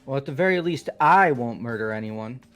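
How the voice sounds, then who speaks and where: A man's voice: medium pitch, animated, fairly quick, a bit bright, soft background music, natural voice. A man voicing a ranting, conspiracy-minded young man in a fan-voiced game, theatrical and indignant. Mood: grudging. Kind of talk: fan voice-over of a visual novel game.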